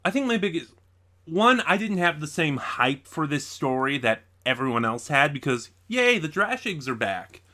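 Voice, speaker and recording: A man's voice: a little high, big pitch swings, normal speed, pushed and bright. A man hosting a casual review video, speaking in a conversational, opinionated way straight to the audience. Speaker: video reviewer and channel host.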